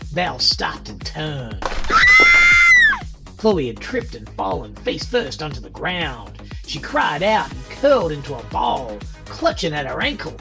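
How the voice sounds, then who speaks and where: A man's voice: a little high, very animated, measured pace, gravelly. A man narrating a scripted horror story in a dramatic style. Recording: music underneath.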